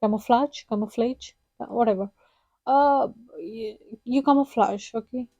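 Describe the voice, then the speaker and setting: Young Hindi-native woman vlogger, higher voice, mellow tone, chatty with fillers. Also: unhurried, no echo, dull audio. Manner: chatty, many "like" fillers, acts out quotes. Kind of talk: casual storytelling to camera.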